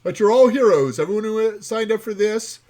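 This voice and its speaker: A man's voice: high voice, animated, normal speed, a little mellow. A man chatting casually and playfully as he co-hosts a livestream about game development. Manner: playful, punning.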